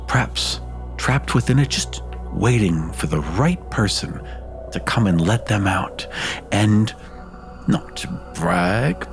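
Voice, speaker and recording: A man voicing a courtly, eager, blue-scaled male mage who talks in a florid, polite, theatrical way. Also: mid-range voice. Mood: sly, boastful.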